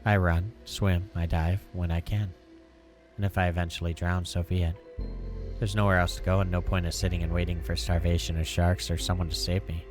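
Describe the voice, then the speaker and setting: A man's voice: deep voice, little pitch movement, brisk, music in the background, natural voice. A man voicing a guarded, pragmatic young woman in a visual novel, forthright and slightly emphatic. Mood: matter-of-fact. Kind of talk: reading visual novel dialogue.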